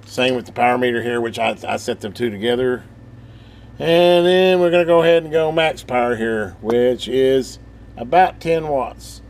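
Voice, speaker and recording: A man's voice: medium pitch, steady tone, moderate pace. A man giving a casual, rambling hands-on radio demonstration, thinking aloud in a folksy, informal way. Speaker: hobbyist presenter demonstrating a CB radio.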